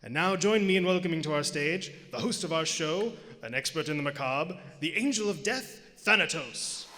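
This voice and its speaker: A man playing a hearty, theatrical old-time radio announcer and pitchman, polished, showy and emphatic. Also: normal speed, bright, forceful tone. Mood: hearty, salesmanlike. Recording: live theater, long hall reverb.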